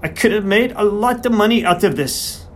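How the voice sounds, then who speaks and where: A man's voice: high voice, lively intonation, moderate pace, fairly bright tone, a little hiss or hum, pitched above the performer's own voice. A man voicing a small, elderly, beery retired smallholder, who talks in a conspiratorial, rustic way. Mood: secretive. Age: older. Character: wily beery little old male horse doctor.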